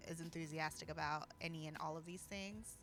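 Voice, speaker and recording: An American woman co-hosting a casual talk show, reflecting informally with thoughtful, halting phrasing. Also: sits low, fairly even intonation, a bit bright, faint music behind. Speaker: podcast co-host and community organizer.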